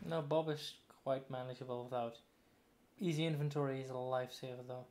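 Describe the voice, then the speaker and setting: A man who plays with the host, chatting casually over voice chat and calmly explaining things step by step. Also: medium pitch, fairly quick. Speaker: fellow player.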